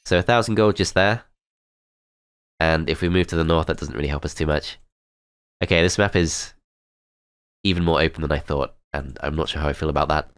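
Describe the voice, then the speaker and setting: Low deep guy with a cold, all blocked up and nasal, chill thinking out loud while he plays. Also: fairly quick, long pauses, smooth, no echo, clean studio sound. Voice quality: nasal, congested. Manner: calm, methodical, thinking aloud. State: has a cold, blocked-up nose. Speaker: gameplay commentator. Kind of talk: strategy game let's play commentary.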